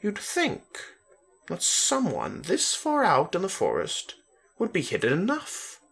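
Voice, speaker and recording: A man's voice: sits high, wildly swooping pitch, a little slow, creaky, a bit bright, breathy edge, pitched above the performer's own voice, full character voice. A man voicing a casual, skeptical young man in a story reading, with a questioning, dismissive manner. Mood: sarcastic.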